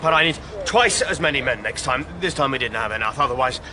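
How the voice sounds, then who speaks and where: An actor playing a pompous, easily riled police chief who blusters and barks in a radio drama. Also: male voice, medium pitch, expressive, quick pace, a crowd in the background, natural voice. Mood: defensive bluster. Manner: blustering, barking, self-important.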